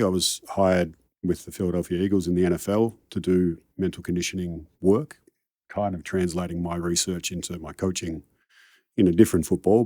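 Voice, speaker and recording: A man's voice: low voice, warm tone, no echo, studio quality. A middle-aged Australian man, a coach telling his career story in a casual interview, rambling and self-deprecating. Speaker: high-performance coach, guest.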